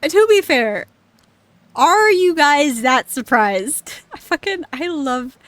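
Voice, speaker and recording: A young woman streamer giving casual let's-play commentary, excitable, laughing often and swearing freely. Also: sits high, slow pace, no echo.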